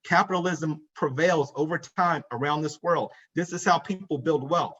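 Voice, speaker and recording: Forties guy, kinda high voice, pushing his points hard at a conference, dull lo-fi sound. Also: normal speed, a bit bright, slightly rough. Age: 40s. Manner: emphatic, repeats key points, addresses audience directly. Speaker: financial advisor. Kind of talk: conference presentation. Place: conference room.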